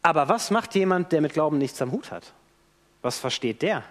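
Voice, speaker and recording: A man's voice: on the high side, normal speed. A man preaching a sermon in a church, telling the story in a vivid way and acting out what people say. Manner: animated storytelling with acted-out quotes. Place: church hall. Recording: long church hall reverb.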